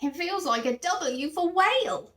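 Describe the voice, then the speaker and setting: A woman's voice: very high voice, lively intonation, a little slow, a bit bright, recorded from a distance, voice pushed up, full character voice. A woman voicing a polite, earnest, curious young male bear from a children's storybook. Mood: puzzled effort.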